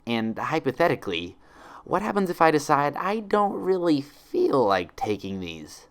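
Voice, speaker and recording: A man's voice: fairly high pitch, sing-song, unhurried, smooth, pitched above the performer's own voice. A man voicing a young female unicorn patient in an audiobook-style story, dry, sarcastic and anxious. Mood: wary. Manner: dry, sarcastic, self-pitying.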